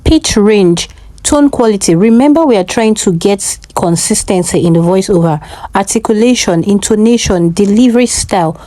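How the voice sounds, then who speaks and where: A woman's voice: mid-range voice, lively intonation, moderate pace, warm tone, dry recording. A woman hosting a tutorial, explaining step by step and often checking in with short questions. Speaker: tutorial host.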